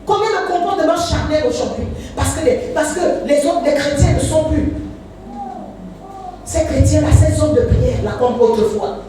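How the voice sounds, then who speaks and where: A woman's voice: high-pitched, moderate pace, music in the background. A woman in her fifties, a pastor preaching a fervent sermon with frequent hallelujahs and sing-song rises. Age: 50s. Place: church. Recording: echoey church hall, voice from far off.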